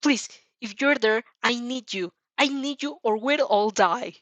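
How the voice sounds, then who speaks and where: A woman's voice: fairly high pitch, unhurried, a bit bright, dry recording, voice pushed up. Woman acting a desperate, pleading young heroine. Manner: urgent, pleading.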